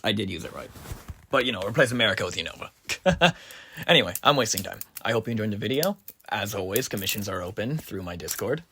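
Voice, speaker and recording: A man's voice: mid-range voice, a little hiss or hum. A man chatting informally to his viewers as a video creator, rambling and questioning himself as he goes. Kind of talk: casual outro chat to viewers.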